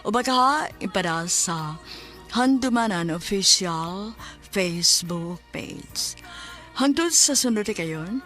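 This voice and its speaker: Casting: female radio drama announcer, Cebuano accent, mid-pitched, unhurried scripted delivery over music. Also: lively intonation, a bit bright. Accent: Cebuano Filipino.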